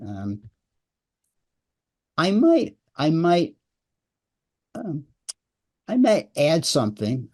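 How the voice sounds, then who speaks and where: Male guest coach, mid-pitched, talks it through, repeats himself mid-thought. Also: a little mellow. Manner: conversational, repeats words as he thinks. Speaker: guest, business writing coach. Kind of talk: podcast interview.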